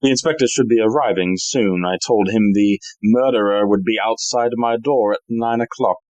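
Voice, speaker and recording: An actor playing a suave, scheming gentleman thief in an audio play, with an unruffled, smooth-talking manner. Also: on the low side, normal speed, no echo, natural voice.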